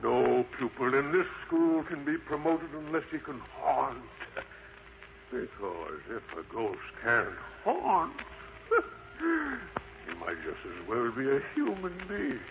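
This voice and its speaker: A man voicing a kindly, chuckling elderly ghost schoolteacher in a drawn-out theatrical way for a children's story. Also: mid-range voice, huge pitch swings, full character voice. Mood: kindly stern. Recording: muffled lo-fi audio with clear noise.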